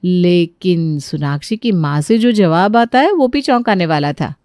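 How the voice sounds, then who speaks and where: A woman's voice: lowish voice, lively intonation, a little slow, a little mellow, no room sound, studio quality. A woman narrating a scripted Hindi cartoon story.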